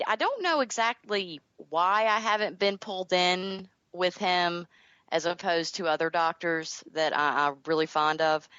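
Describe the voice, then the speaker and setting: A woman's voice: on the low side, a bit bright, clean tone, dry recording, muffled recording. A woman from the American South chatting casually as an interview guest, thoughtfully searching for her words.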